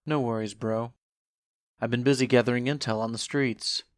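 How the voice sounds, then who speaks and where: A man's voice: mid-range voice, fairly even intonation, moderate pace, close to an everyday voice. A man playing a sly, laid-back skeleton hustler with a casual, unbothered way of talking in a fan audio drama.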